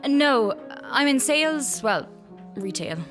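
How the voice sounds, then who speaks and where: An actress with an Irish accent playing an anxious, fragile young woman in a radio drama, halting and unsure as she speaks. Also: high voice, expressive, soft background music. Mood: politely uncomfortable. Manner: hesitant, halting. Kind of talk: naturalistic radio drama acting.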